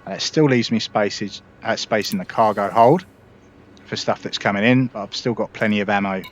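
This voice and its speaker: A man hosting a casual gameplay tutorial, relaxed and explanatory, talking viewers through each step. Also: on the low side, normal speed. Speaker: gaming video host.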